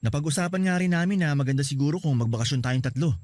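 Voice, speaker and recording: A man's voice: on the high side, quick pace, darkish tone, no echo, clean studio sound, close to an everyday voice. A man with a Filipino accent playing a caring, teasing older brother in a radio drama. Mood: upbeat.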